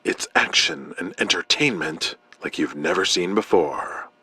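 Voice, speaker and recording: A grandiose wrestling promoter with a very low, raspy, forceful voice. He boasts like a 1980s television showman in an audio drama, recorded close and dry. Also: measured pace, dry recording, heavily acted, rough recording. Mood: boastful, proud. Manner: grand, self-important.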